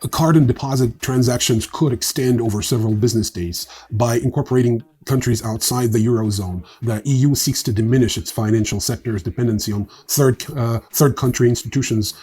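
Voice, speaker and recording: A man's voice: medium pitch, fairly quick, few pauses, mellow, breathy edge. A man hosting a news show, delivering informal scripted commentary in a blunt, wry, opinionated manner. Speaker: host of a crypto news show.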